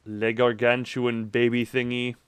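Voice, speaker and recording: A man's voice: medium pitch, completely flat delivery, measured pace, fairly bright tone, clean tone, no room sound. A man doing casual live video game commentary, rambling playfully to his viewers and repeating himself as he exclaims.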